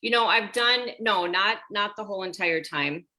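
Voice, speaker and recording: A woman's voice: medium pitch, moderate pace. A woman in her sixties, a women's health coach, chatting animatedly on a group video call and talking straight to the group. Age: 60s. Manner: animated, talks straight to the group as "you guys".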